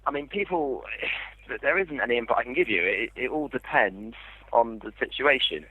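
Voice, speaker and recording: A man's voice: medium pitch, lively intonation, moderate pace, sharp tone, husky. A man working as an insurance call handler, patiently explaining things over a tinny phone line. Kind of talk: customer service phone conversation.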